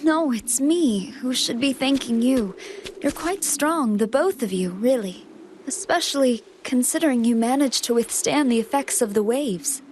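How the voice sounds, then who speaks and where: A woman playing a gentle, apologetic scientist in an English anime game dub, polite and earnest. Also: high voice, lively intonation, normal speed, a little hiss or hum. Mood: concerned, grateful. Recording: loud music behind.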